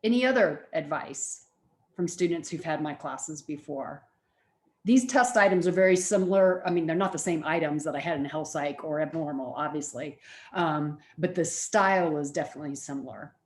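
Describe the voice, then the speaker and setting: Lower-pitched woman lecturing conversationally. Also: moderate pace, darkish tone. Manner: conversational, explanatory. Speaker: college psychology lecturer. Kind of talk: informal lecture to students.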